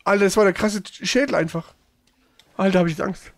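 A man's voice: high-pitched, fairly quick, no room sound. A man gives casual live gaming commentary. He talks in loose slang with plenty of swearing, over faint game music. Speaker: gaming streamer playing and chatting.

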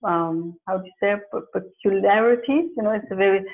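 A woman's voice: medium pitch, fairly quick. Dutch-speaking woman on a tinny video call. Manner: thoughtful, many fillers and restarts. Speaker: career and leadership coach. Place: home office with plants and pictures. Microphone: earphone mic on a video call. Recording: phone-like narrow sound.

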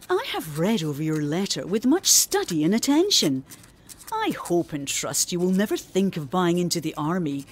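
A woman's voice: mid-range voice, a little mellow, husky, exaggerated voice. A woman playing a genteel lady patron in a period radio drama, reading her letter aloud with formal, earnest advice. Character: genteel, well-connected older lady patron writing letters.

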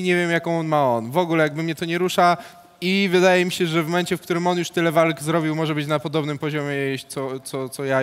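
Young polish guy on a headset mic, a bit high, stalls and swears, big roomy reverb. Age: young adult. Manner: hesitant, swears, stalls on answers. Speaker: fighter answering questions. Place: indoor studio stage.

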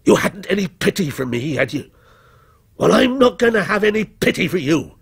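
A man's voice: high-pitched, big pitch swings, moderate pace, vocal fry, husky, voice pushed up, full character voice. A man voicing a vengeful, menacing criminal posing as a policeman, taunting and bitter in a dramatic story. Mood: bitter, accusing. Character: menacing, vengeful male fictional criminal come to life as a policeman.

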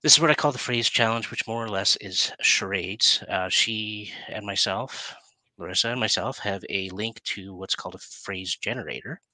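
Bright, forceful middle-aged male host. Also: on the low side, moderate pace, no room sound, low-quality recording. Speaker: talk show host. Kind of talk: casual live-stream interview. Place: plain room, indoors. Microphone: headset.

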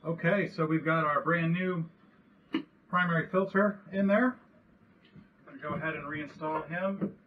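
A man's voice: on the high side, fairly even intonation, moderate pace, long pauses, recorded from a distance, highs cut off, slight background noise. A man in his thirties walking through a repair step by step while working in a cramped sailboat cabin, recorded on a nearby action camera. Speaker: boat owner showing a repair. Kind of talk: hands-on how-to walkthrough.

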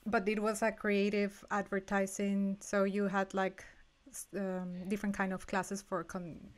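A woman's voice: mid-range voice, completely flat delivery. Mexican woman co-hosting a stream over a video call, rambly and eager. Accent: Mexican Spanish. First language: Spanish. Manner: eager, rambling, halting phrasing. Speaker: co-host. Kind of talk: casual live stream chat.

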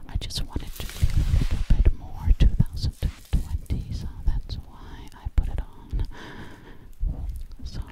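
A breathy whisper, very close to the mic, belongs to a sinister, vain witch acted out by a woman. She speaks very slowly in an ASMR roleplay, repeating words hypnotically and lingering on each one. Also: close to an everyday voice, low-quality recording. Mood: playful, boastful. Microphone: close microphone.

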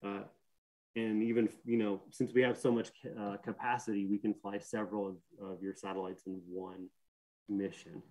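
A man's voice: lowish voice, little pitch movement, normal speed, darkish tone, rough recording. A young man giving a technical conference talk from home over a video call, explaining earnestly with many fillers and restarts. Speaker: conference speaker, systems engineer. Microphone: webcam or laptop mic on a video call.

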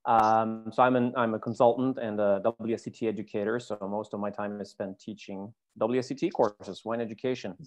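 A man, a wine educator introducing himself on a video call. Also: on the low side. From Sweden. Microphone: laptop video call.

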